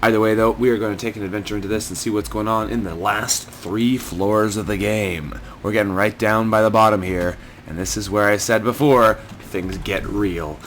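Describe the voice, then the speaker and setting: A man with a hoarse, scratchy voice and a fairly low pitch talks through a video game at a steady, methodical pace. Game sounds and gunfire come through clearly behind his voice. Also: moderate pace, few pauses. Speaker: gaming channel host. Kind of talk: let's play commentary.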